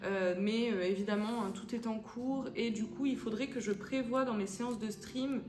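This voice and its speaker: A woman's voice: fairly high pitch, fairly even intonation, moderate pace. A young woman from France giving casual let's-play commentary, chatty and hesitant with many fillers and English phrases dropped in. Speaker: gaming YouTuber. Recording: echoey room with background music. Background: game music.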